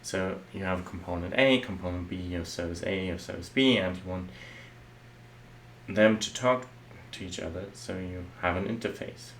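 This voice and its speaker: German man, low voice, software architect talking casually through slides, slight background hiss. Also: normal speed. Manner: loose and conversational, many "well" and "uh" fillers. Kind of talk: explanatory slide talk.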